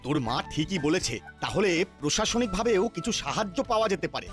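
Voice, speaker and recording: A man's voice: high-pitched, sing-song, quick pace, a bit bright. A man voicing a curious, supportive father in a cartoon. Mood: approving. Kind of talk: cartoon voice acting. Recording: music behind the voice. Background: cartoon background music.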